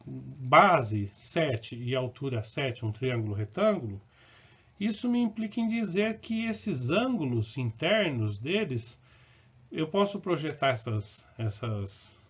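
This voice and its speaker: A man's voice: on the high side, breathy edge. A man teaching math, explaining step by step and correcting himself as he goes, with short check-ins to the viewer. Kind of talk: recorded lesson working through problems. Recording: dull, lo-fi recording.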